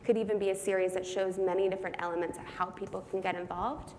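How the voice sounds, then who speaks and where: A woman's voice: medium pitch, little pitch movement, fast talker, darkish tone, slight background noise. Earnest young woman answering questions. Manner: earnest, thinking aloud, frequent "right?" tags. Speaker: speaker answering audience questions. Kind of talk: Q&A answers at a talk. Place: conference room.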